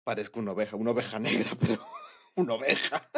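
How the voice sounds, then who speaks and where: Low, husky young Mexican man. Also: fast, a bit bright. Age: young adult. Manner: rambling, giggly, informal with slang. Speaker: ASMR roleplay creator speaking as boyfriend. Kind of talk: casual intimate boyfriend ASMR chat.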